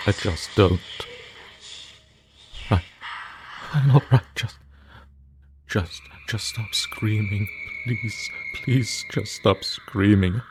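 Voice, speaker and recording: A man acting out a terrified, exhausted man who whispers, pleads and breaks into frantic shouts as he writes his journal in a horror story. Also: low voice, background noise. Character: haunted guilt-ridden man writing a journal.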